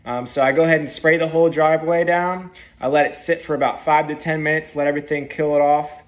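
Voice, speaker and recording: A young man in his twenties, a pressure washing business owner, giving a relaxed how-to vlog, talking plainly with frequent ums and uhs, filmed on a phone held at arm's length indoors. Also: fairly high pitch, fast talker. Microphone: phone camera at arm's length.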